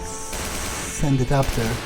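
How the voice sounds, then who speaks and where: A man voicing his game character as he plays, muttering sardonic, self-assured remarks. His voice sits at a middle pitch over loud game music and bursts of gunfire. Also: fairly even intonation, extremely slow, low-quality recording. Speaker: let's play roleplayer.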